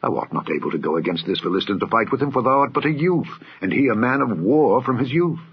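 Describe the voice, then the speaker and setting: A man voicing an older male king, doubtful and cautious, with a measured, warning tone. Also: medium pitch, lively intonation, slightly rough, highs cut off.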